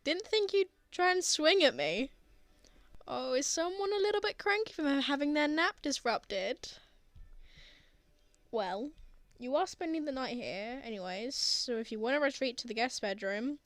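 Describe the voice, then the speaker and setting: A woman's voice: high-pitched, animated, moderate pace, dry recording. A young woman playing a teasing, bashful but tender college student in an intimate roleplay audio drama. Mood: playful, teasing. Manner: playful teasing with bashful hesitations.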